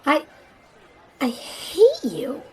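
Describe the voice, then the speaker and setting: A woman's voice: high voice, wildly swooping pitch, drawn-out words, heavily acted. A woman playing a tech-minded, sarcastic female sidekick who teases dryly, in an audio drama. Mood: wry. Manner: dry, sarcastic, teasing.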